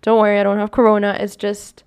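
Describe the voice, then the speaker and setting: A woman's voice: monotone, fast talker, a little mellow, smooth. A young woman with a somewhat scratchy voice, hosting a casual solo podcast, rambling and correcting herself as she talks. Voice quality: scratchy, deepens with long talk. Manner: rambling, self-correcting, upbeat sign-off. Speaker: podcast host.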